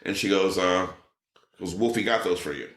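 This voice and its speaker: A middle-aged man telling a story in casual chat, acting out quotes with a raised voice. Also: moderate pace. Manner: animated, acts out quotes with raised pitch. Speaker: podcast guest. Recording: roomy echo.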